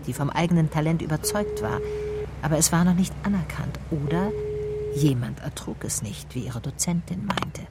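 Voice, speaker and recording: An actress playing a brooding, persistent private detective in a radio drama, measured and reflective. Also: female voice, deep voice, warm tone, a little hiss or hum. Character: female private detective telling her own case, brooding, persistent. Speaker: narrator and lead. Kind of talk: radio drama first-person narration and dialogue.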